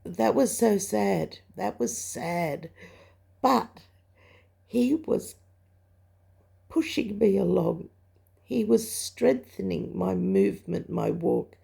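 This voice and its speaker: An older woman shares a personal testimony in a croaky voice full of heavy fry. Her delivery is slow and halting, with reflective pauses. The tone of the voice is soft-edged and mellow, at an ordinary pitch for a woman. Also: very animated. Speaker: religious vlogger sharing a testimony. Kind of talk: personal spoken testimony, recounting a dream.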